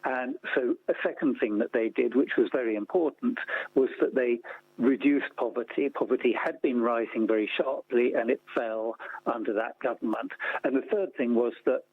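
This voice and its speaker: Over a telephone, a man explains things in a measured, structured way as a radio interview guest. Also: mid-range voice, breathy edge, dry recording. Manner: measured, structured, enumerating points. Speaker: interview guest, report author and organisation founder. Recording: tinny narrow-band phone line.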